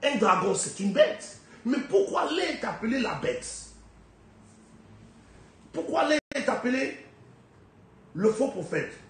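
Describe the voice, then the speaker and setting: High-voiced preacher with a cold in an echoey hall. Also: male voice, very animated, slow, pauses often. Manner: emphatic, rhetorical questions, big swings in pitch and loudness. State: has a head cold. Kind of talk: sermon. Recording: very echoey hall, faint background noise.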